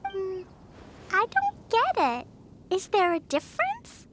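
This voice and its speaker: A woman voicing an excitable, innocent little girl in a video game. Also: very high voice, slow pace, exaggerated voice. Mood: curious, eager.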